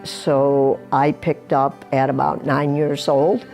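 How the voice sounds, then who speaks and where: A woman's voice: rumbling low, level tone, slow pace, a little mellow, dull audio, faint music behind. A woman in her sixties in recovery, giving plain, candid personal testimony in an interview. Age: 60s.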